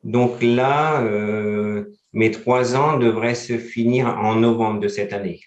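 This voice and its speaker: A man's voice: mid-range voice, steady tone, takes it slowly, roomy sound, muffled recording. A man in his forties from France, a guest in a casual interview, who talks hesitantly with many fillers. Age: 40s.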